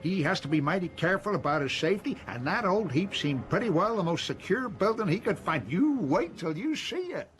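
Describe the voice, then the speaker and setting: An actor playing a folksy, plain-spoken old frontier scout with an American accent in a radio drama. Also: male voice, high-pitched, big pitch swings, a little fast, few pauses, a bit bright. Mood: folksy, modest. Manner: folksy, rambling storyteller.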